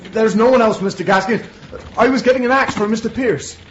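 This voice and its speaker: A man's voice: high voice, fairly quick, lightly acted. An actor playing a frightened, deferential young servant man in a radio drama, breathless and pleading. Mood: nervous, defensive. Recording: dull lo-fi audio with noise.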